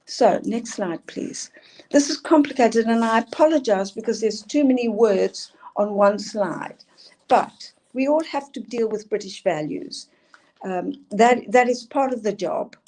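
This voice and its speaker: Older South African woman, emphatic presenter, warm low-brightness tone. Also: measured pace. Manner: earnest, emphatic, asks for next slides. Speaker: school leadership speaker. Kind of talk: presenting slides in a talk.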